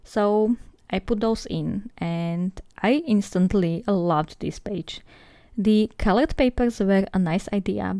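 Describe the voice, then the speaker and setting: A woman's voice: mid-range voice, mellow, dry recording, clean studio sound. A young woman with a Slovak accent, a native Slovak speaker, chatting casually in voice-over as a book vlogger, laughing often and poking fun at herself.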